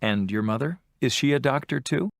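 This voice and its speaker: An adult voice playing an interviewer in a scripted textbook dialogue, asking friendly questions with measured delivery. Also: mid-range voice, expressive, creaky, no room sound, studio quality. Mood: plain.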